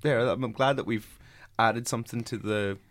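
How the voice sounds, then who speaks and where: A man's voice: medium pitch, steady tone, moderate pace, a bit bright. A man hosting a podcast from a small basement studio, chatty and jokey, rambling a little as he talks. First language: English only. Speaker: podcast host. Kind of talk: casual podcast interview.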